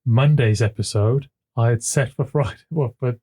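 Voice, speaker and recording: A man co-hosting a podcast in casual chat, with a smooth voice. He chuckles often and repeats words as he gets going, speaking into a desk microphone at his home computer. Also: mid-range voice, moderate pace, mellow. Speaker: podcast co-host.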